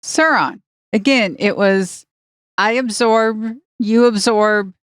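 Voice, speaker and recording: A woman's voice: mid-range voice, slow, smooth, dry recording, clean studio sound. A woman co-hosting a podcast, giving wry, opinionated takes in a casual review chat. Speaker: podcast co-host. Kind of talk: casual review discussion of a cartoon.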